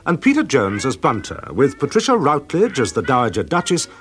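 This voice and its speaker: A man's voice: mid-range voice, huge pitch swings, brisk. A man with a British accent reading scripted narration as the announcer of a radio drama. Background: music.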